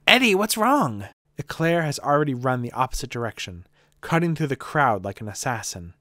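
A narrator reading a scripted fantasy story in a measured, descriptive way. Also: medium pitch, animated, a bit bright, no room sound, studio quality. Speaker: narrator and sole voice performer.

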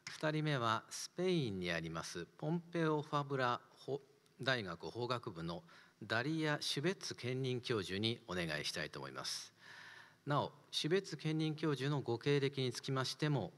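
Fast-talking man moderating a seminar. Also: mid-range voice, big pitch swings, fairly bright tone, long reverb tail. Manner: polite and formal. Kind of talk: formal event moderation. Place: studio with wood panels. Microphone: desk mic.

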